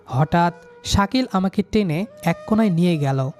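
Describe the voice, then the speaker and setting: A man's voice: high voice, animated, moderate pace, darkish tone, soft background music. Young man narrating a romantic story. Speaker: storyteller, lead character. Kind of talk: scripted first-person romantic story narration.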